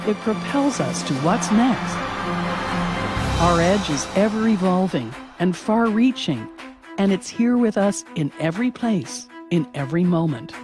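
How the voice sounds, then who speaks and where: A woman's voice: on the low side, expressive, unhurried. A woman reading polished, scripted narration for a promotional film. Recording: music bed under the voice. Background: music.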